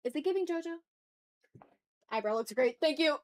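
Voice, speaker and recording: A woman chatting casually as she hosts an art livestream, talking to her viewers in an animated, slangy, teasing way with some swearing. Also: sits high, normal speed. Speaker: livestream host and artist. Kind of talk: casual art livestream chat, reading and answering chat messages.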